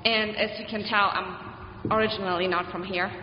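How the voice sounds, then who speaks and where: A woman's voice: medium pitch, fairly even intonation, moderate pace, slight background noise. A woman in her thirties from Germany with a German accent, speaking hesitantly with fillers as a candidate giving an opening statement. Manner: hesitant, with soft laughs and fillers. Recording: echoey hall, dull sound.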